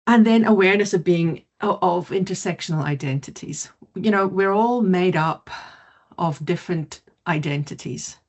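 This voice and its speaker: A middle-aged woman from South Africa, whose first language is not English, giving an online mindfulness presentation in a lively, quick way. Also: lowish voice, highs cut off. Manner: lively, quick, laughs easily. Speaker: mindfulness teacher.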